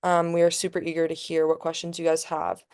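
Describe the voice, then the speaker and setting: A woman's voice: sits low, completely flat delivery, a little fast, darkish tone, smooth. A teenage girl hosting a webinar, reading a prepared introduction of the guest speaker over a video call. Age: teens. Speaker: student host introducing a speaker. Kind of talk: scripted introduction.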